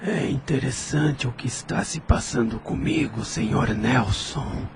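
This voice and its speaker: A man's voice: mid-range voice, unhurried, vocal fry, noisy background. A Brazilian man playing a pensive, sincere widower in an audio drama, with a wistful manner.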